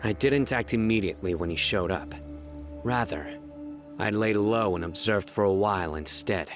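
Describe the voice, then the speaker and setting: An actor playing a stern young male lawman in a video game, calm, clipped and authoritative. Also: fairly low pitch, moderate pace, over music, close to an everyday voice. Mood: analytical.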